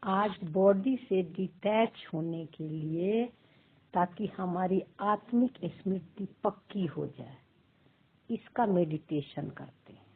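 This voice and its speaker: Very slow, husky woman guiding meditation. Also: on the low side. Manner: slow, deliberate phrases with long pauses. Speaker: meditation guide. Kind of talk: guided meditation. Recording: muffled narrow phone-like audio.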